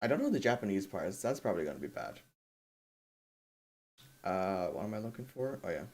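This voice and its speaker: A man's voice: sits low, very animated, a little fast, a little mellow, breathy edge. A man streaming in a casual, rambling way, thinking aloud and putting himself down. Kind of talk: casual livestream chat.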